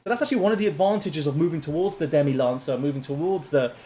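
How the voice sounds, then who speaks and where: A man's voice: a little high, rapid-fire, slight background noise. A man co-hosting a history podcast, chatting casually and laughing easily. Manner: laughs easily, animated asides. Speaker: podcast co-host.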